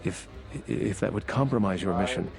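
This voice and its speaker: A man voicing an earnest, guarded political insider in a video game, measured and formal. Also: deep voice, vocal fry, soft background music. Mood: guarded, polite. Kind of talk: video game character dialogue.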